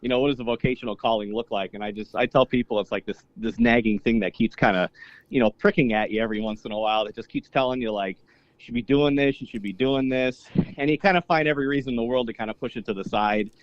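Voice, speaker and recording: A man's voice: medium pitch, fast, no room sound, dull audio. A young man whose first language is Polish, a priest and polka singer, talking casually as an interview guest with lots of fillers. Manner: easygoing, rambling, many fillers and restarts.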